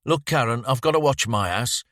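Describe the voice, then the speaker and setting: A man's voice: mid-range voice, moderate pace, fairly bright tone, dry recording, clean studio sound, pitched above the performer's own voice, close to an everyday voice. A man reading aloud, voicing a menacing sixteen-year-old boy who is curt and swears. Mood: coaxing.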